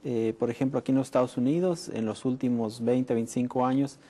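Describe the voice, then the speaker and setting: A middle-aged man from Mexico speaks as a public health doctor in a studio interview. His voice is mellow and relaxed at an ordinary pitch, and he explains in a measured way, often pausing with "eh". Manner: measured, frequent "eh" pauses.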